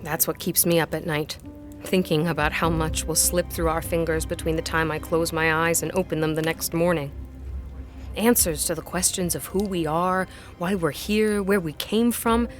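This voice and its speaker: A woman's voice: deep voice, steady tone, moderate pace, soft background music, lightly acted. An actress playing an earnest, curious woman historian in a gothic audio drama, speaking in articulate, formal and literary phrases. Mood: gentle, thoughtful.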